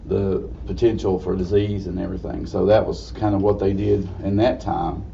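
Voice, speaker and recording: Man, slightly raspy, dark tone, halting folksy lecture, dull recording with faint noise. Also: deep voice, flat delivery. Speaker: guest lecturer. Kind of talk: informal history lecture. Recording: distant mic, dull muffled sound, faint noise.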